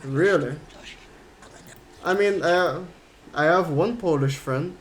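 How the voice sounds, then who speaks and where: A man's voice: a little high, takes it slowly, a little hiss or hum. A man from Curaçao streaming a game, chatting casually and answering his chat in a rambling way. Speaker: gaming streamer.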